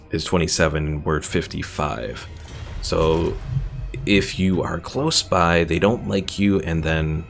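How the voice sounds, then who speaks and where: A man with a deep, gravelly voice talks through a strategy game stream at an easy pace. He explains the mechanics in a relaxed, conversational way and answers viewers' questions as they come in. Faint music runs underneath him. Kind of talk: casual gameplay commentary answering chat.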